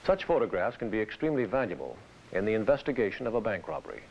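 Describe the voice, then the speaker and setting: Low-voiced man, formal, on hissy old film. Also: sing-song, gravelly. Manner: formal, deliberate, instructive. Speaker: training film presenter. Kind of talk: scripted instructional presentation. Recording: dull hissy vintage training film soundtrack.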